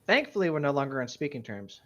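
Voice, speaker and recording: A man's voice: medium pitch, very animated, fast talker, dull audio. A man bantering casually and reading out a story as co-host, joking and playing along.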